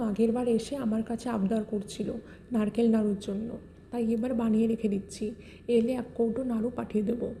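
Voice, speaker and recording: A woman's voice: fairly high pitch, dark tone, a little hiss or hum, lightly acted. A woman playing an older, doting and bossy village grandmother in a cartoon, homely and insistent. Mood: fond. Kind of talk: Bengali cartoon fairy-tale acting.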